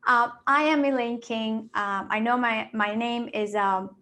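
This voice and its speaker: A woman's voice: fairly high pitch, steady tone, measured pace, sharp tone. A woman from Peru, a financial planner giving an upbeat, encouraging webinar talk over a video call, with fillers and restarts. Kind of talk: webinar presentation.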